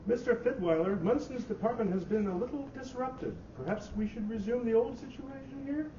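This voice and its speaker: A timid old male personnel chief speaks deferentially in a high, soft-edged voice, in a staged reading. He sounds distant in an echoey hall. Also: animated, brisk, lo-fi sound, a little hiss or hum. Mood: tentative. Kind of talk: staged dramatic reading of a short story.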